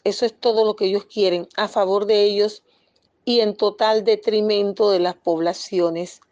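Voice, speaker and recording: Woman activist, insistent and firm, dry close sound, mid-pitched. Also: steady tone, moderate pace, a little mellow, dry recording, highs cut off. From Panama. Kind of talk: impassioned statement to press.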